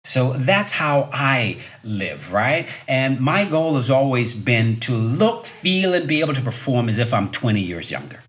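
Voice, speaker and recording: A man's voice: medium pitch, sing-song, a little slow, few pauses, a bit bright. A man in his fifties hosting a solo motivational podcast as a fitness coach, talking conversationally and emphatically with rhetorical questions and repeated key points. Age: 50s.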